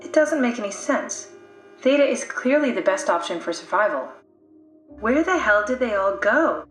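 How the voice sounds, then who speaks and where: A woman's voice: fairly high pitch, very animated, normal speed. An actress voicing a pragmatic female scientist whose mind lives in a machine, with a dry, matter-of-fact way of explaining things in a video game. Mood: worried. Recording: echoey room, music underneath.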